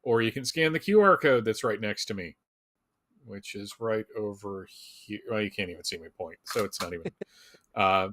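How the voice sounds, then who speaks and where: A man's voice: lowish voice, moderate pace, a bit bright, slight background noise. A man co-hosting a live fan show in a casual, joking way, with wry, self-deprecating humor.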